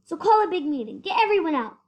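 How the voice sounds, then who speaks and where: A female reader voicing an earnest, loyal elephant in a children's storybook, with loud, emphatic delivery. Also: very high-pitched, sing-song, fast talker, voice pushed up. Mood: urgent pleading.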